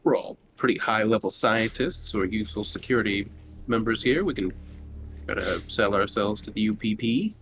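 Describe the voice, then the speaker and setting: A man's voice: lowish voice, moderate pace. A man playing a tabletop game, speaking casually in character to the group. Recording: noticeable background noise on mic.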